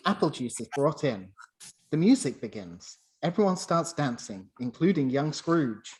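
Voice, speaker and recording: A man's voice: a little high, big pitch swings, measured pace, a little mellow, low-quality recording. A man reading scripted dramatic narration for a radio play.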